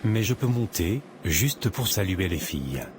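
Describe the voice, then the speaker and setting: A man's voice: deep voice, very animated, measured pace, background noise. A man playing an earnest, troubled young man. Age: young adult.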